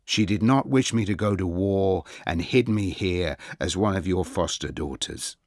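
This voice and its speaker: A man's voice: sits low, a little slow, darkish tone, no echo, clean studio sound. A man voicing a passionate, earnest young prince in an audiobook, urgent and emotional in manner. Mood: defiant.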